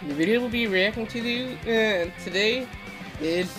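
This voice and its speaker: Hoarse guy hosting a reaction video. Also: sits high, a little slow. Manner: chatty, explains things to his co-hosts. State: losing his voice. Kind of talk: casual reaction commentary. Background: video audio and music playing.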